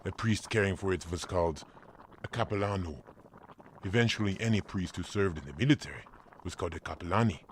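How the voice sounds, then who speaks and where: A man playing a kindly German village priest in a family audio drama, telling stories in a measured, fatherly way. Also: deep voice, a little hiss or hum, natural voice. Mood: storytelling.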